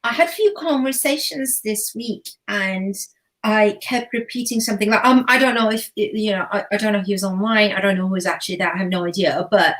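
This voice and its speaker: A woman in her forties from Turkey speaks English as an in an earnest, searching way, pausing on fillers and circling back as she explains. She talks as a relationship coach in a relaxed online chat, her voice sitting at an ordinary middle pitch. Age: 40s. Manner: earnest, thinks aloud with fillers and restarts. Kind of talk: casual chat.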